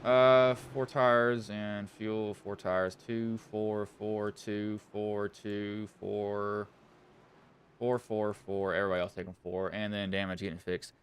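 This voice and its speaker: A man with a Southwest Virginian accent calls an online race in a low voice. He drifts between play-by-play and muttering to himself, and he often stops to correct his own words. A faint hum runs under the recording. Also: flat delivery, takes it slowly. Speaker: sim racing commentator. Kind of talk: play-by-play racing commentary.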